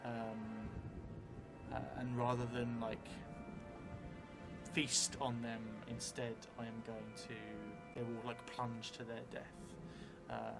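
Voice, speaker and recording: A middle-aged man thinking aloud as he narrates a solo tabletop role-playing game, halting between phrases. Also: medium pitch, completely flat delivery, creaky. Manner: halting, pausing between phrases while writing. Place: indoor room, seated at a table. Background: music.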